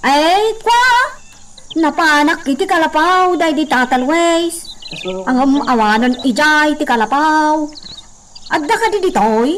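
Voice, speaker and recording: A woman plays a nosy, talkative village woman with an Ilocano accent. The radio drama voice is pushed high and singsong, full of exclamations. It is recorded at some distance with noticeable noise. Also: expressive, a little slow, a bit bright. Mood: friendly, inquisitive. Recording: distant mic, clearly noisy background.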